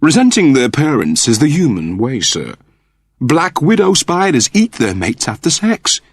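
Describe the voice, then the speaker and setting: A man's voice: mid-range voice, huge pitch swings, a little slow, breathy edge, no echo, pitched above the performer's own voice, heavily acted. A man voicing a polite, anxious robot servant, deferential and formal, in an audiobook story. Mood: earnest. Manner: deferential, formal, precise wording.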